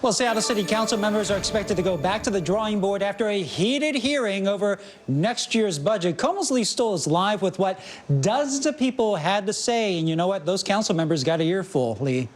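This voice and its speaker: Man, news anchor, high voice, brisk authoritative reading. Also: expressive.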